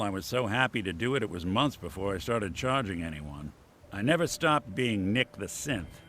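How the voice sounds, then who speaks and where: An actor playing a world-weary, good-natured synth robot detective in a video game, telling stories in folksy, wry old-time slang. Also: male voice, on the low side, vocal fry, a bit bright, a little hiss or hum, exaggerated voice. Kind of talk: video game character dialogue, reflective storytelling. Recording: music playing behind the voice.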